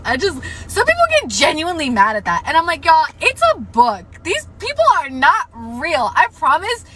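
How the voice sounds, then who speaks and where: Young woman rambling about books on a live, bright edgy voice going a bit hoarse, lots of "like", faint hiss behind. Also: high voice, sing-song, normal speed. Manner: chatty, frank, swears freely, many "like" fillers. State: hoarse, losing her voice. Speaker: book livestreamer. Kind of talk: casual live-stream book chat.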